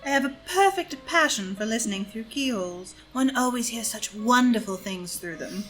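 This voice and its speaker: A woman's voice: a little high, expressive, normal speed, over music, lightly acted, low-quality recording. A woman playing a sly, amused society lady, arch and poised, in a staged play reading. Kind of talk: stage play read aloud as audio drama.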